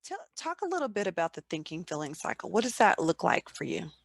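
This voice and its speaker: A woman hosts an interview and affirms her guests as she goes. Her voice is low and gravelly. It sounds dry and close to the mic. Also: very animated, a little fast, no echo. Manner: affirming, encouraging listener. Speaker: host. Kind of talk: hosting an online interview.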